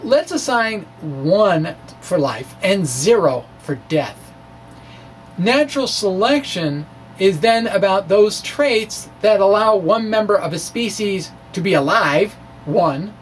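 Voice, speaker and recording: A middle-aged man doing a dramatic reading of a science blog, halting and wry, with informal self-deprecating asides. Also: high voice. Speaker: science video blogger. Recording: distant mic, faint background noise.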